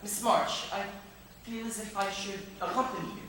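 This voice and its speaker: A man's voice: high voice, normal speed, a bit bright. A man playing an awkward, reserved professor in a stage drama, speaking formally and with some hesitation. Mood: hesitant, concerned. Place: theater stage, distant microphone. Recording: very echoey hall, distant mic, faint noise.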